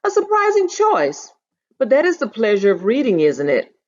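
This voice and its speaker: Woman playing courtly older man, storybook character, gentle book lover, savoring words, very varied pitch, muffled lo-fi recording. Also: wildly swooping pitch, moderate pace. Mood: gently delighted. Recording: dull lo-fi sound.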